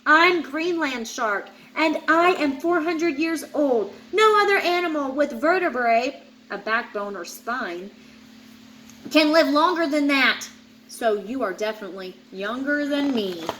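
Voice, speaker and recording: A woman acts out an ancient shark that dismisses younger rivals. The storybook voice is high and takes its time as it corrects them. Some noise sits in the background. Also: animated, a little slow, fairly bright tone, poor audio. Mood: superior. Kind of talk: children's picture book read-aloud.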